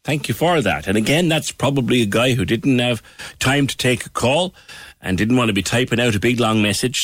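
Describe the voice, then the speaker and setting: A man's voice: on the low side, fairly bright tone, dry recording. A man hosting a radio phone-in show, chatting with callers and guests and often restarting his questions.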